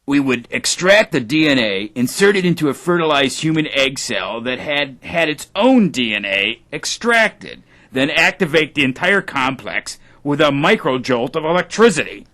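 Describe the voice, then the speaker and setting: An actor playing a pompous, precise genetic engineer in an audio drama, speaking formally. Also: male voice, on the high side, sing-song, moderate pace, hardly any pauses, pushed and bright. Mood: self-important, formal. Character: self-important male genetic engineer and entrepreneur, precise, pompous.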